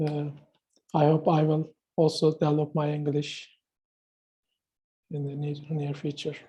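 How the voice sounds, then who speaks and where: Man from Turkey, native Turkish speaker, slow and flat, mellow tone, halting English. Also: on the high side, muffled recording. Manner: halting non-native English, many pauses. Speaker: new member introducing himself. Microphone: video call.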